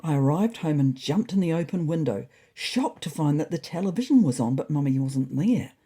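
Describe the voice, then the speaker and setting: A woman's voice: low voice, animated, moderate pace, dark tone, breathy edge. A woman voicing a self-important, naively confident male orange cat reading out his diary in a scripted story. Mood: worried, musing.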